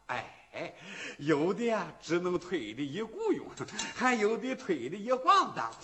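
A man voicing a timid young man in a traditional story, eager to please and quick to take fright. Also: sits high, wildly swooping pitch, a bit bright, heavily acted. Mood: explaining.

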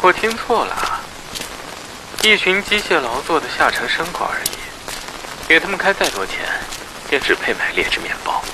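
Lively, sneering rich younger brother. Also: male voice, on the high side, moderate pace, pauses often, background noise. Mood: mocking. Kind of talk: radio drama acting.

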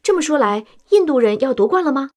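A woman's voice: high-pitched, animated, quick pace, a little mellow. A woman playing an earnest TV news anchor of about thirty in a radio drama, with a formal announcer's delivery. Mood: inquiring. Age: 30s.

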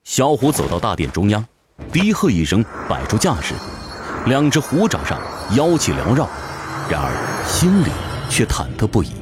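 A man's voice: on the low side, huge pitch swings, moderate pace. A man narrating a fantasy audio drama from a script, with intense, theatrical storytelling. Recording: music behind the voice.

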